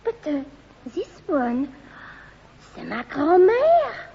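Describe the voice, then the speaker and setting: Affectionate young French girl, French accent, radio sitcom, very high, lilting, slow, dull old tape. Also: wildly swooping pitch, gravelly, full character voice. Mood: amazed. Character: romantic, delighted young Frenchwoman, innocent and affectionate. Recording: dull, noisy, reverberant old radio recording.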